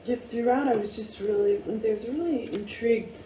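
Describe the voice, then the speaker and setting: A woman's voice: on the high side, fairly quick, dark tone. A woman giving informal feedback in a group discussion, reflective and emphatic as she muses aloud in questions. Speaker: audience member giving play feedback, teacher. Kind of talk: informal post-reading discussion. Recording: muffled, noisy, echoey room from far off.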